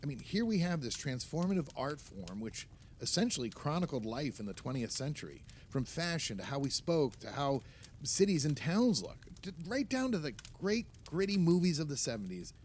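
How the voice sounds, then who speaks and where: Animated, opinionated man holding forth as an actor. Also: fairly high pitch, normal speed, slight background noise. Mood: exasperated, nostalgic. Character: opinionated, nostalgic male film writer chatting among friends. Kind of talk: naturalistic audio play dialogue.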